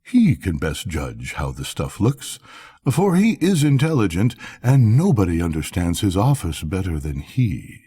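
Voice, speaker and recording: A man's voice: deep voice, wildly swooping pitch, unhurried, dark tone, slightly rough, full character voice. A man voicing a vain, self-assured emperor who muses pompously in a storybook. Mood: musing.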